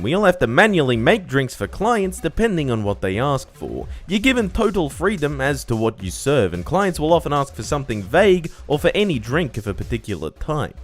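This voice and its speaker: Lively male video game reviewer over music. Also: medium pitch. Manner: enthusiastic, casually sweary. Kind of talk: scripted video essay narration. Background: music under the voice.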